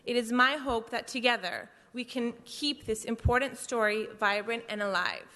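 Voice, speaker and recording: A woman reads an earnest prepared statement in a bright, slightly high voice. She speaks for an organization, and her words ring out with long reverb in a large chamber. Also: normal speed. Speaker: advocate for an organization.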